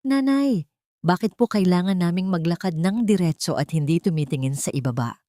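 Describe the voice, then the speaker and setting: A woman's voice: fairly low pitch, expressive, normal speed, dark tone, no room sound, studio quality, lightly acted. Woman voicing curious, polite little boy. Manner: polite, questioning. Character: curious five-year-old boy, polite to his mother.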